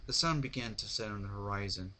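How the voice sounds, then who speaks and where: A man narrating a story as an amateur dramatic reading, halting and sometimes stumbling over words. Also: fairly low pitch, bright, forceful tone. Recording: noisy amateur home recording.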